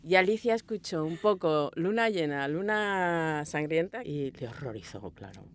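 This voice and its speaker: Woman, Spain accent, slightly low pitch. Interviewee, conversational, digressive storyteller who slips into quoted dialogue. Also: animated, normal speed, a little hiss or hum. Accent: Spanish (Spain). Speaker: audio fiction director being interviewed. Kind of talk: casual interview chat. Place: small recording booth.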